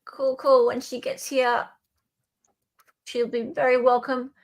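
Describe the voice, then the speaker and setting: A woman's voice: high voice, little pitch movement, slow pace. A woman hosting a livestream in a casual, conversational way. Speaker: livestream host. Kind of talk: casual chat.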